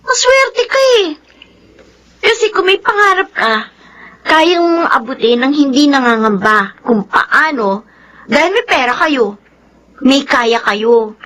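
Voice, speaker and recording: A woman's voice: high-pitched, animated, slow, pauses often, a bit bright, full character voice, low-quality recording. A young woman with a Filipino accent playing an earnest, sisterly, hardworking student in a radio drama, emotive and admonishing. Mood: earnest pleading. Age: young adult.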